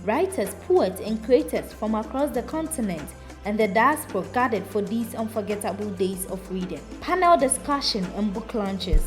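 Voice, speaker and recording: A woman's voice: mid-range voice, animated, moderate pace. A woman reporter reading a scripted recap in a polished, upbeat way. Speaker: reporter narrator. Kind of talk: scripted narration. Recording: music under voice-over. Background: music bed.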